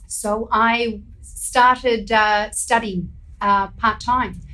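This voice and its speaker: A woman's voice: a little high, very slow, low-quality recording. A middle-aged woman, a home stager and interior designer, talking casually in an interview and telling her story with animation. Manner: chatty storyteller, animated when recounting events.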